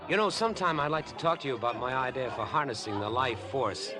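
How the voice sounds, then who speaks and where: An actor playing a smooth, eccentric young man obsessed with murder in a 1950s radio drama, with a glib, insinuating charm. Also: medium pitch, expressive, fast, natural voice. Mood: eager, grandiose. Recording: vintage 1950s radio broadcast with music.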